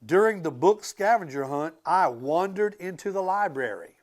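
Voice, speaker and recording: A man reads a children's book aloud in a slightly high, animated voice. His delivery is expressive and emphatic, and he steps out of the story to chat casually with the children listening. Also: normal speed. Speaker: school transportation director reading aloud to students.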